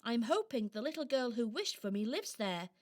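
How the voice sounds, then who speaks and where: Woman playing an eager, high-voiced young toy girl. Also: sing-song, normal speed. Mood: cheerful, hopeful. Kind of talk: children's radio play acting.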